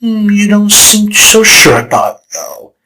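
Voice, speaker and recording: A man's voice: sits high, big pitch swings, sharp tone, full character voice, poor audio. A man voicing a scheming, theatrical male chaos spirit in a fan comic dub. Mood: probing. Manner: dramatic, teasing, slow and deliberate. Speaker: storyteller figure.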